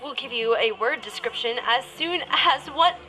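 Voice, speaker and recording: Female, bright high voice, acting as a flighty, flirty announcer in a radio comedy parody. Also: lively intonation, moderate pace, poor audio. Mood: flustered. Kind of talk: parody of 1930s radio drama.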